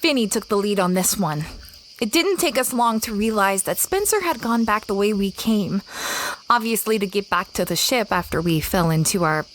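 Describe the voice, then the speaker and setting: A woman's voice: medium pitch, expressive, normal speed, slight background noise, lightly acted, low-quality recording. A woman playing a young female space adventurer in an audio drama, conversational and a little hesitant, anxious and evasive. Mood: recounting. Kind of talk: audio drama acting and narration.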